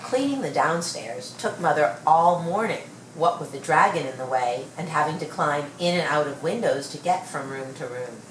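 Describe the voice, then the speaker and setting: Low-voiced woman reading a storybook, roomy. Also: expressive, normal speed, hardly any pauses. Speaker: storybook reader. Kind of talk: read-aloud children's story narration. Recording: roomy, distant mic, faint noise.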